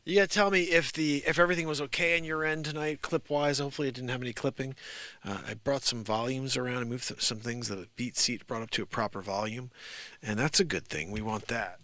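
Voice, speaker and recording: A man chatting casually and excitably to viewers as a livestream host, rambling off into asides. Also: medium pitch, quick pace, dry recording, soft background music. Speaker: live stream host and street-style musician.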